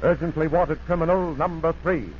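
A man's voice: on the high side, fairly even intonation, normal speed, muffled recording, a little hiss or hum. An American man working as a program announcer in formal, emphatic 1940s radio style, on an old broadcast recording.

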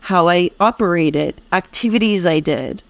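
A woman's voice: lowish voice, animated, unhurried, gravelly, no room sound. A woman from the United States hosting a conversational interview, empathetically relating to the guest. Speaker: podcast host.

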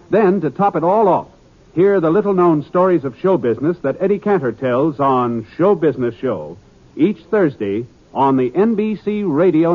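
Polished vintage American radio announcer, male. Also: on the high side. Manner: polished, scripted delivery. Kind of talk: 1950s radio promo announcing. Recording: muffled narrow-band 1950s radio broadcast.

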